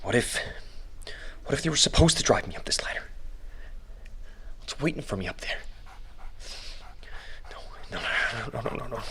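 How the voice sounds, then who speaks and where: An actor playing a frightened, desperate trapped man with a wry streak, recording himself in a breathy audio drama monologue. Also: medium pitch, lively intonation, moderate pace, long pauses, a bit bright, slight background noise. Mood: hushed, scared, then shaken, uneasy.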